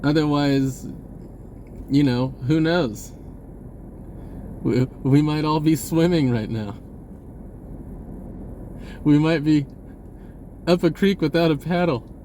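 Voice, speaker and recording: A man speaks in a loose, rambling vlog style. His voice sits a little high and moves very slowly, often stopping partway through a thought. A steady noise runs behind him. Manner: halting, pausing mid-sentence. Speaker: vlogger. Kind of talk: casual rambling monologue to camera.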